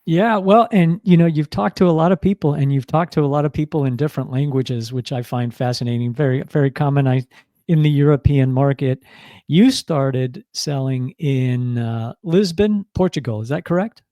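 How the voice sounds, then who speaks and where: A man's voice: mid-range voice, moderate pace, mellow, no room sound. Upbeat male podcast host, dry sound. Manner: friendly, enthusiastic questioning. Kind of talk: conversational podcast interview.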